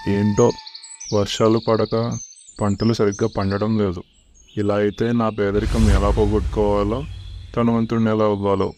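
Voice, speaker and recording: A man's voice: on the low side, little pitch movement, normal speed, a little mellow, soft background music, natural voice. A man playing a poor, devout farmer who longs for riches in a children's cartoon story, speaking in an earnest, pleading manner. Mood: troubled.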